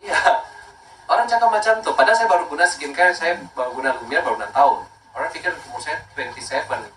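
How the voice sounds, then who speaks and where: A man's voice: a little high, quick pace, pushed and bright, reverberant, recorded from a distance, a little hiss or hum, poor audio. A man in his thirties from Makassar, a skincare brand owner talking casually and emphatically, repeating points and mixing in English words. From Makassar, Indonesia. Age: 30s. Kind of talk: casual promotional talk.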